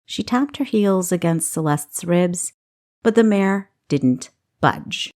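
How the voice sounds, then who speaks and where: Lower-voiced woman narrating fiction. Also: lively intonation, slow pace, a little mellow. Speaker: audiobook narrator. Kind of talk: expressive scripted fiction narration.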